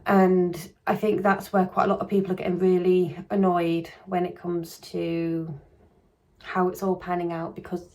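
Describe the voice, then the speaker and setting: A woman with a croaky voice coming on, casually commenting on a true crime case in a rambling, hedging way with frequent restarts. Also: on the low side, monotone, normal speed, warm tone.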